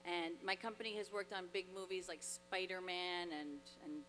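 Woman giving a bright speech in a hall. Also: on the low side, normal speed, faint music behind. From Dominican Republic. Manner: earnest, grateful, with frequent fillers and restarts. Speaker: award honoree. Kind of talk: acceptance speech. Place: large hall, on stage at a podium. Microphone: podium microphone. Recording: long reverb in a large hall, faint hum.

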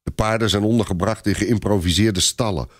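A man's voice: lowish voice, brisk, no echo, studio quality, lightly acted. A man voicing a worried, discontented but loyal medieval herald in a radio story. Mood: discontented grumbling. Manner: grumbling.

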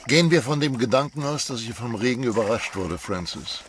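A man's voice: mid-range voice, animated, fairly quick, slight background noise, lightly acted. An actor playing a blunt, skeptical male rancher in a radio play, speaking in a matter-of-fact way.

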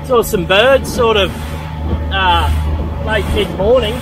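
An Australian man talking casually while he fishes from a small boat, excitable and swearing freely as he reacts. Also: high voice, noisy background. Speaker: fishing vlogger. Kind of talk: casual running commentary while fishing. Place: small boat out on open water.

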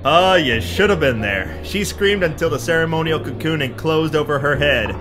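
A man's voice: on the high side, animated, normal speed, in a large hall, close to an everyday voice. A man playing a boastful macho adventurer hero in a theatrical, hard-boiled pulp radio serial style. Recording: music behind the voice.

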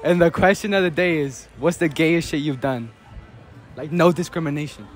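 Loud teasing young guy interviewer. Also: fairly high pitch, normal speed. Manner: loud, teasing, laughing often. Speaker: street interviewer. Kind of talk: playful street interview. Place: outdoor school courtyard with crowd. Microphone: handheld mic. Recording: crowd noise and music behind.

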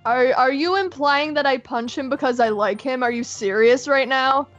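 An exasperated, high-voiced young woman. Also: normal speed, fairly bright tone, lo-fi sound, soft background music. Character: exasperated, tough, sarcastic young woman in a cartoon.

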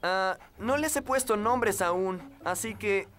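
A woman's voice: mid-range voice, takes it slowly, a bit bright. Polite, apologetic cartoon boy. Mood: grateful. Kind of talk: dubbed children's cartoon acting.

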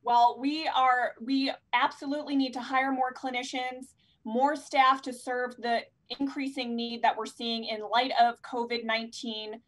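Young woman host, higher voice, gushing thanks, handheld mic on video call. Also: level tone. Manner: effusive, thanking donors rapidly. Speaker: fundraising host. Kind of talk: live fundraiser hosting. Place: indoors, plain room at home.

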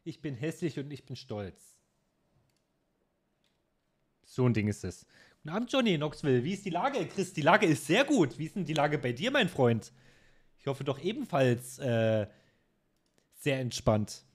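A man's voice: on the high side, big pitch swings, moderate pace, pauses often, slightly rough. A man in his twenties streaming a game from his room at home, chatting and teasing his friend. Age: 20s. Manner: joking, teasing banter. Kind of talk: casual gaming chat.

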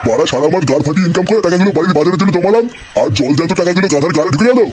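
A man's voice: high voice, rapid-fire, darkish tone, a little hiss or hum, natural voice. A man voicing a cranky, scolding, foul-mouthed old village grandfather in a comedy cartoon dub. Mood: grumbling. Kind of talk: Bengali comedy cartoon dubbing.

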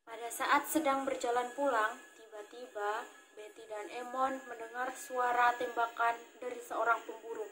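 A woman, narrating a children's puppet story deliberately, phrase by phrase. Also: on the high side, little pitch movement, a bit bright, low-quality recording. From Indonesia. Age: 20s. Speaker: student storyteller.

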